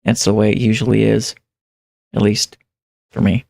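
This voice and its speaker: Creaky-voiced guy reacting casually on stream. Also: fairly low pitch, flat delivery, normal speed, darkish tone, no room sound. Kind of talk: casual live reaction commentary.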